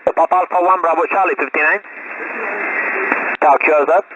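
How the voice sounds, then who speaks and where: A man's voice: a little high, fairly even intonation. Man on very noisy radio. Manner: brisk, repetitive, clipped. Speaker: amateur radio operator running contacts. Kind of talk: rapid procedural radio exchanges with phonetic callsigns. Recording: dull lo-fi radio sound, loud static noise.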